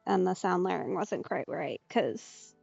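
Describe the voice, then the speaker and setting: A woman's voice: fairly low pitch, lively intonation, unhurried, vocal fry, no echo. A woman giving casual let's play commentary, musing and reacting aloud in short remarks, in slightly muffled stream audio. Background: soft game music.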